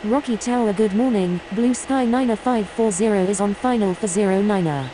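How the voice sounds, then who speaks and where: A woman voicing a brisk airline pilot in a game, making scripted radio calls in clipped procedural phrasing. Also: medium pitch, lightly acted. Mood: businesslike. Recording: noisy capture with steady whirring hiss.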